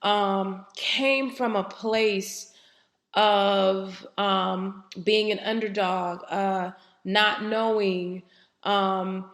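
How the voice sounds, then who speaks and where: A woman hosts her own podcast and talks reflectively, with a voice that is slightly raspy from a head cold. Her pitch sits in a middle range, and she speaks casually, pausing often on "um." The sound is roomy and echoey. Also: steady tone, fairly bright tone. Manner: conversational, frequent "um" fillers. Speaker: podcast host. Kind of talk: reflective solo podcast talk.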